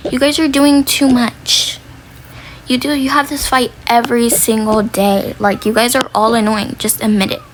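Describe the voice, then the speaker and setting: A woman's voice: fairly high pitch, flat delivery, moderate pace, noisy background, natural voice. A woman playing an exasperated, scornful older sibling who scolds the younger kids in a family role play. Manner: annoyed, scolding.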